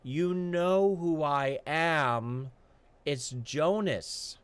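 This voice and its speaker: A man voicing a sincere, stubborn male hunter who speaks in slow, flat, matter-of-fact lines. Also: a little high, clean tone, no room sound, voice pushed up. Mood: blunt.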